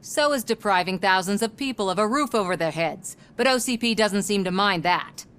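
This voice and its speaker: An actress playing a sardonic, determined investigative reporter in a video game, speaking in a wry, pointed way. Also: female voice, medium pitch, expressive, moderate pace, a bit bright, smooth, natural voice. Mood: skeptical, sardonic.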